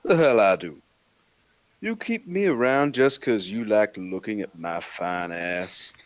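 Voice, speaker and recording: A man's voice: on the low side, expressive, unhurried, dry recording. A man reading the part of a scruffy, skeptical monster hunter in his forties, with a sarcastic, wisecracking manner.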